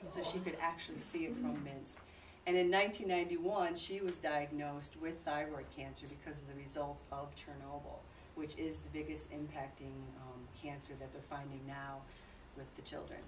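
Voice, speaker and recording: Female presenter, low voice, conversational explainer giving an informal group talk; noisy, echoing room recording. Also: level tone, moderate pace, hardly any pauses. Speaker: presenter reporting on a charity trip. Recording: distant mic in noisy, echoey room.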